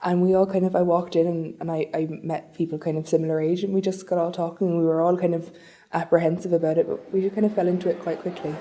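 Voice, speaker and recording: A woman's voice: fairly low pitch, flat delivery, quick pace, warm tone. A woman in her twenties with a Cork accent, speaking hesitantly and repeating words. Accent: Cork Irish. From Cork, Ireland. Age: 20s. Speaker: student, assembly member.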